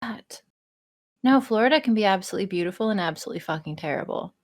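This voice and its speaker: A woman's voice: mid-range voice, expressive, quick pace. A woman chatting casually on a podcast, joking around and swearing freely. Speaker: podcast guest, audio performer.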